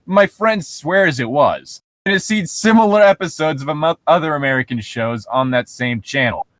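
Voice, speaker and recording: A man's voice: fairly high pitch, animated, normal speed, a bit bright, no echo. A young adult man co-hosting in a casual, rambling, joking chat, swearing freely and prone to giggling. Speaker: podcast co-host reading and riffing on horror stories. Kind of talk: casual joking chat with bits of reading aloud.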